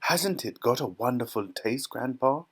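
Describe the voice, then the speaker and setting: A man's voice: mid-range voice, expressive, unhurried, slightly rough. A man voicing a sweet-natured, eager small boy in a children's storybook, full of wonder.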